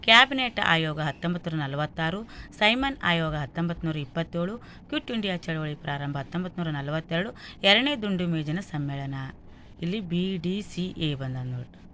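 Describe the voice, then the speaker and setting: A woman's voice: fairly low pitch, lively intonation, moderate pace, bright, forceful tone, slight background noise. A woman with a North Karnataka accent reading out exam questions and answers like a teacher, item by item. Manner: instructional, listing answers item by item.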